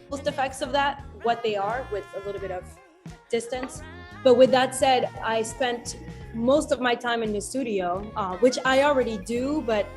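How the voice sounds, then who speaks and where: Woman, fairly high voice, reflective interview talk, laughs often, faint background music. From France. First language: French. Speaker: musician guest. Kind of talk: interview answers.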